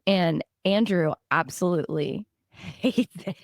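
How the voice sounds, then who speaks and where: A woman in her late thirties, co-hosting a casual podcast chat, her voice hoarse from a recent strain, telling playful stories and laughing often. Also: on the low side, takes it slowly, no echo, studio quality. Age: 30s. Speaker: podcast co-host.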